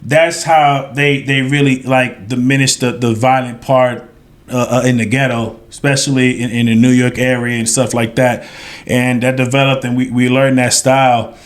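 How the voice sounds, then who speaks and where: A man's voice: mid-range voice, flat delivery, normal speed. A man in his thirties, a podcast guest, telling a story in a rambling way with many fillers and restarts. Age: 30s. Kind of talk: casual storytelling.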